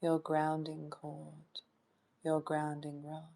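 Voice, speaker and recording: A woman leading a guided meditation in a soft, soothing voice that sometimes drops toward a whisper, in short phrases with long pauses. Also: deep voice, completely flat delivery, creaky. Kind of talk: guided meditation, hypnotic trance induction.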